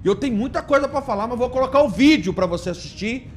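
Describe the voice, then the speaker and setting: A man's voice: very high-pitched, lively intonation, quick pace. A man with a Brazilian accent giving informal political commentary in an indignant, emphatic, mocking way. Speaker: video commentator.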